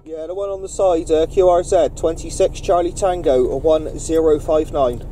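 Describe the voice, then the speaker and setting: A man running a CB radio net, chatting informally in radio jargon with halting phrasing. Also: on the high side, fairly even intonation, warm tone, a little hiss or hum.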